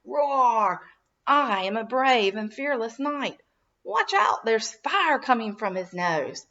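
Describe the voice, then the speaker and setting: A woman voicing an excitable, adventurous little girl from a storybook, with exclaiming, dramatic delivery. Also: on the high side, slow pace, dull audio, heavily acted. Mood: bold pretend play.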